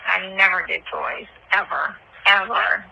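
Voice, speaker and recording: Noisy phone call, sharp gravelly candid woman. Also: mid-range voice, steady tone, a little slow. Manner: candid, blunt, slangy. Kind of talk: casual intimate phone chat.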